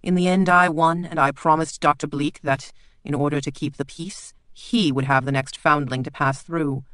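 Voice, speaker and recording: An audiobook narrator voicing a smug, sneering lord who speaks slowly and loudly with false charm. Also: mid-range voice, fairly even intonation, dry recording, natural voice. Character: smug, sneering lordly man, host of a castle.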